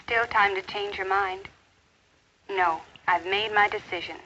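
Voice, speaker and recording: A dull, noisy old film soundtrack carries an earnest woman teacher. She speaks in a measured, formal way at a middle pitch, with caring concern, in 1950s classroom-film style. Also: fairly even intonation, bright, forceful tone. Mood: formal, composed. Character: earnest, caring female high school teacher. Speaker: actor in an educational film. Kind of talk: 1950s educational film acting with inner-thought narration.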